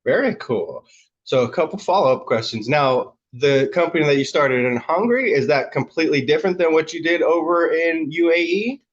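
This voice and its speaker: A man hosts a podcast, opening with an upbeat, scripted promotional intro before turning to casual follow-up questions. His voice sits a little high for a man. The audio sounds a little muffled and lo-fi. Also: animated, fairly quick. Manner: upbeat promotional read, then brief affirmations. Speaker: podcast host.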